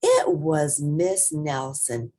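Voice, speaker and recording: Woman reading a kids book out loud, slow, really animated, bit husky. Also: low-pitched. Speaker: storybook reader. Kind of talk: expressive children's read-aloud narration.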